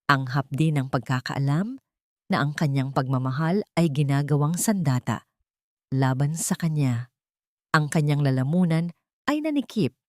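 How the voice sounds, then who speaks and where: A woman's voice: sits low, darkish tone, dry recording, studio quality. A woman narrating a dramatic story in a solemn, literary storytelling manner.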